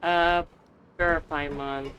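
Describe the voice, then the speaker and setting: A woman's voice: deep voice, fairly even intonation, drawn-out words, muffled recording, background noise. A woman streaming a game, chatting casually with slangy reactions and reading dialogue aloud. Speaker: gaming streamer. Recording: game music behind the voice.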